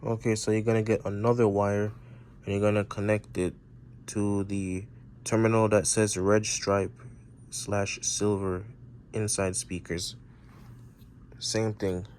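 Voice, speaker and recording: A man giving an informal, unscripted how-to tutorial, explaining step by step in a halting way. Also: lowish voice, level tone, darkish tone, a little hiss or hum. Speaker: presenter of a how-to repair video.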